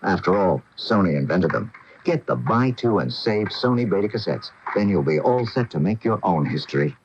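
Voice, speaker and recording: Deep-voiced male ad announcer. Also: expressive, normal speed, a little hiss or hum. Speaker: commercial announcer. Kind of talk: scripted radio ad read. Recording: dull lo-fi 1980 radio, music behind.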